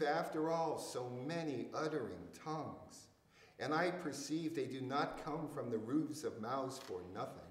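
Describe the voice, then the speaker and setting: Slow-reading older man in echoey hall. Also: mid-range voice. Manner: deliberate, measured phrasing. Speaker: poet reading at a public reading. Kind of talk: poetry recitation. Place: indoors in a church hall.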